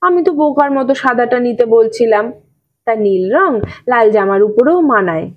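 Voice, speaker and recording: An evasive father in an audio story, acted by a woman reader, talking in a raised pitch. He blusters and cajoles with rhetorical questions, brushing off his child, on a slightly dull recording. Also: expressive, moderate pace. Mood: coaxing.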